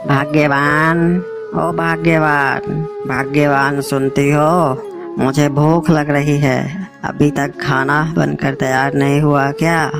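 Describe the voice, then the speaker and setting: A man voicing a hungry, impatient village husband in a moral-story cartoon, calling out in drawn-out words. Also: on the high side, level tone, vocal fry, over music.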